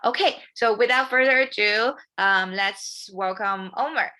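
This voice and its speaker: A woman's voice: mid-range voice, measured pace. A woman hosting an online talk, introducing the guest and politely asking about the screen share. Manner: polite, gently interrupting. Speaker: host.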